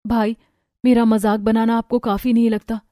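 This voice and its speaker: A woman's voice: a little high, little pitch movement, moderate pace, dark tone, dry recording, lightly acted. A woman voicing a meek, mistreated young daughter in a cartoon story, plaintive and earnest. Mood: hurt. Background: music.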